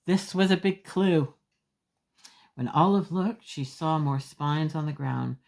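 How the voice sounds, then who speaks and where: Female picture-book reader, lower register, leisurely and expressive, speaking simply and emphatically to small children. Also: slow, creaky. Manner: simple, emphatic phrasing with small asides to young listeners. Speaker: children's storyteller.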